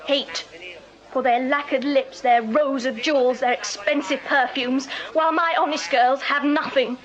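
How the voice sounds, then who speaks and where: A woman's voice: high-pitched, steady tone, measured pace, dull audio. An actress playing a prim, protective Victorian music hall woman in a radio drama, speaking precisely and earnestly with an English accent. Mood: bitter, scornful. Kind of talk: full-cast period radio drama acting.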